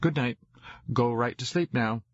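A man's voice: medium pitch, unhurried, husky, pitched above the performer's own voice. A man voicing a strict, proper mother in an audiobook, scolding and full of questions. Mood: brisk, motherly. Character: strict, fussing middle-class mother of a young girl. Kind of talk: audiobook character dialogue. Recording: dull, roomy, echoey recording.

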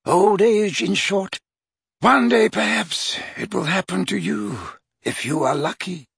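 A man voicing an old male wizard headmaster, gentle and formal, a little higher than his own voice, with a husky tone. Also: measured pace, no room sound, clean studio sound, full character voice. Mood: calm.

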